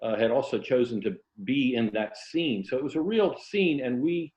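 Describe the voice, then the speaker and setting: A man's voice: mid-range voice, normal speed, darkish tone. Middle-aged or older man, roomy dull recording. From Tampa, Florida. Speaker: interview guest, writer. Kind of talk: casual conversational interview.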